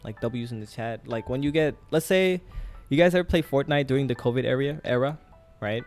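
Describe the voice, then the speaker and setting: A man's voice: medium pitch, brisk, darkish tone, soft background music. A young man, raised in Thailand, hosting a casual live trading stream and talking to his chat with lots of fillers. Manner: chatty, talks to chat with many fillers.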